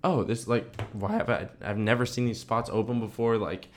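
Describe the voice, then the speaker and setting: A man's voice: on the low side, moderate pace, slight background noise. A young man co-hosting a podcast, telling stories in a casual, slangy way full of "dude" and "like". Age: young adult. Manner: slangy, animated retelling with heavy "dude" and "like". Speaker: podcast co-host. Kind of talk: casual chat, storytelling.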